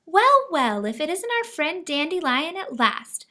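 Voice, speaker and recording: Woman doing a prim storybook giraffe lady, high pitched, lilting and super polite. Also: sing-song, a little slow, bright, forceful tone, heavily acted. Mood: welcoming. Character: prim, polite, ladylike female giraffe hostess.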